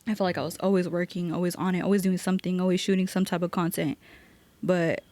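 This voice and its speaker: A woman tattoo artist guest with a Central Coast Californian accent, chatting casually and animatedly on a podcast. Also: on the low side. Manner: animated, slangy, quick asides. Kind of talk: casual chat.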